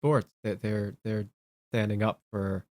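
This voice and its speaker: A man co-hosting a casual political chat, speaking in a halting way and repeating his words. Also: lowish voice, flat delivery, a little mellow, no echo. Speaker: talk show co-host.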